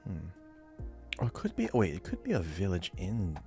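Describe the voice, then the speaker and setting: A man's voice: deep voice, wildly swooping pitch, normal speed, a little mellow, faint music behind. A man on a podcast quiz, casually thinking aloud in a hesitant, musing way.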